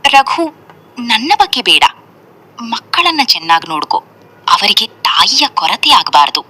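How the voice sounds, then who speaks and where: Woman playing gentle village mother, husky and bright. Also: mid-range voice, big pitch swings, moderate pace, exaggerated voice. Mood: pleading.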